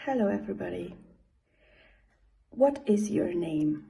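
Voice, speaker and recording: A woman from Poland, a native Polish speaker, answers interview questions in English. She talks slowly and pauses often. Her voice is mellow and dark, and she sounds like an English teacher talking about her job. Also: fairly low pitch, expressive, gravelly, slight background noise. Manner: slow, with hesitant pauses and fillers.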